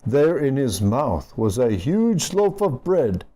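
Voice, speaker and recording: A man with a mellow voice reads a children's rhyming storybook. His pitch swings widely as he goes, animated and aimed at little listeners. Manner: unhurried, animated, addressing young listeners. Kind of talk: expressive read-aloud of a rhyming picture book.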